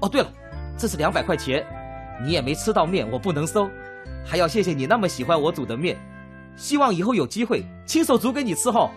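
A man's voice: on the high side, sing-song, a little fast, music in the background. A man acting a polite, good-natured noodle-shop owner in his thirties, with a Taiwanese Mandarin accent and a hesitant manner, in a radio drama. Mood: friendly, earnest. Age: 30s. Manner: hesitant, polite, soft particles.

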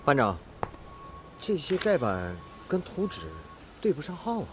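An eager high-voiced young apprentice. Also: male voice, very animated, unhurried, background noise. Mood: earnest. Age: young adult. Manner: earnest, deferential, eager. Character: eager, earnest young male factory apprentice. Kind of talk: radio drama acting.

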